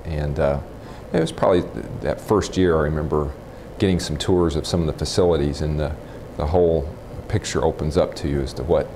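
A man in his forties from Texas with an American accent, recounting his life matter-of-factly and haltingly in an interview on a lapel mic. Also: a bass voice, fairly even intonation. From Texas, United States. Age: 40s. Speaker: interviewee, nuclear physicist. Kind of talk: informal oral history interview, recollecting. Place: room in a house, indoors. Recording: clip-on mic with faint background noise.

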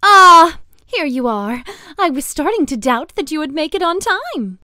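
A bossy rich young lady in an anime-style game. Her voice is high and piercing and swings widely as she drawls imperious, condescending orders. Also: sing-song, a little slow, pushed and bright, no room sound, studio quality, exaggerated voice. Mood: haughty.

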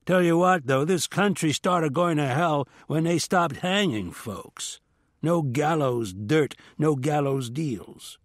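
A man's voice: on the high side, slow, no room sound, studio quality, voice pushed up. A man voicing a cynical, darkly joking convict in an audiobook, blunt, folksy and emphatic. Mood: gruffly amused, emphatic.

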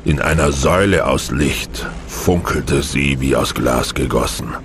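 A man's voice: extremely low pitch, unhurried, fairly bright tone, slight background noise, full character voice. A man narrating a science fiction audio drama in a dramatic, scripted style. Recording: music behind the narration.

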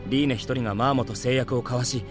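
An actor playing an earnest, polite young heroic knight in an anime audio drama. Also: male voice, mid-range voice, fairly even intonation, fast, music in the background, close to an everyday voice.

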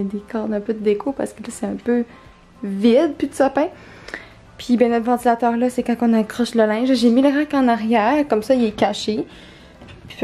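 A woman's voice: on the high side, mellow. A young woman in her twenties with a Quebec French accent, chatting casually as a vlogger, rambling with lots of fillers into a handheld camera. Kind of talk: casual vlog chat. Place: small apartment indoors. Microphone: handheld camera at arm's length.

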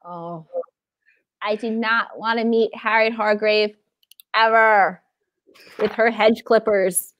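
A woman's voice: mid-range voice, fairly even intonation, slow pace, pauses often, dull audio. An American woman in her thirties hosting a casual online event over a video call. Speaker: library event host. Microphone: wired earphones on video call.

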